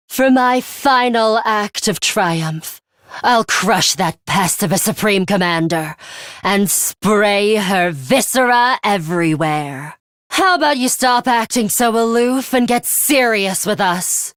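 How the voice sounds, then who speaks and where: A woman voicing a cold, aloof young woman fighter in a video game, with a composed, formal, deadpan and disdainful manner and a bloodthirsty streak. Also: fairly high pitch, dry recording, clean studio sound. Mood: aloof, morbid. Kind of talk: English video game voice acting.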